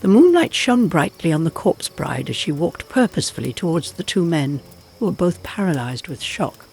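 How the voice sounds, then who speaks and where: A man narrating a scripted folk tale in a dramatic, expressive way. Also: on the high side, normal speed, faint music behind, low-quality recording. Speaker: storyteller narrator.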